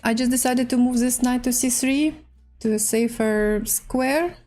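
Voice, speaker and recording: A woman with a Russian accent, a native Russian speaker, hosting a casual chess livestream in halting, hesitant English. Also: a little high, fairly even intonation, darkish tone, soft background music. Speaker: livestream host and chess player. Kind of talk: casual livestream chat while playing chess.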